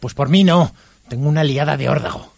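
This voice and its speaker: A man's voice: on the high side, brisk, vocal fry, a little mellow, dry recording. A man with an accent from Spain playing a harried, grumbling team worker in a radio drama. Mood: exasperated. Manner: exasperated, colloquial.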